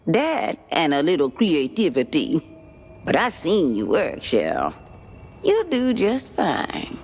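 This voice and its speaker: A woman's voice: low-pitched, wildly swooping pitch, slow, darkish tone, a little hiss or hum, exaggerated voice. An actress playing a sly, ancient, folksy swamp witch in a video game, with a Cajun accent and a teasing, cryptic drawl. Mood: knowing, coy. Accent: Louisiana Cajun. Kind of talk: video game cutscene acting.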